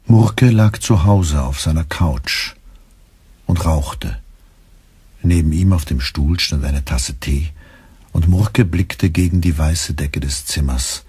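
A man with a husky voice narrating a German literary radio play from a script. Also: very deep voice, takes it slowly, a little mellow, no echo.

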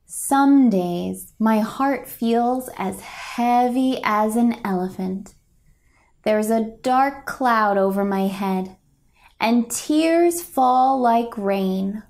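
A young woman reads a children's storybook aloud at a very slow, deliberate pace. Her voice sits a little high, and she delivers the lines gently and expressively, as for small listeners. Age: young adult. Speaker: picture book reader. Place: indoors, a home room.

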